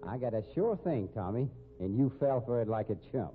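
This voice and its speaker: An actor playing a gloating, bullying rodeo gambler in a 1950s radio drama, with American accent and rough slang. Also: male voice, mid-range voice, animated, brisk, narrow, tinny audio, soft background music, natural voice. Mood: taunting. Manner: taunting, rough ungrammatical slang. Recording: thin, noisy vintage radio recording.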